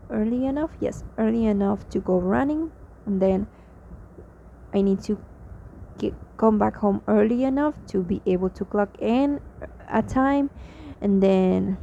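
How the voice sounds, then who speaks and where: A young woman from Mexico speaks English with a Mexican accent, rambling through a spoken diary with fillers and false starts, tired and drained. Her voice is mellow and gravelly with vocal fry. The recording is a little dull and lo-fi, with faint background noise. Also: mid-range voice. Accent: Mexican Spanish. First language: Spanish. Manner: rambling, hesitant, many restarts and fillers. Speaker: vlogger.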